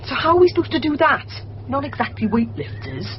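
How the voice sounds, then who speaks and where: A woman's fairly high voice that swings widely in pitch. She plays a probing, sceptical accomplice in a radio play. The broadcast sounds a little muffled. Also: wildly swooping pitch, a little fast, slight background noise. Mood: doubtful. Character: doubting, questioning female accomplice in a plot. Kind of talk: 1990s radio drama acting.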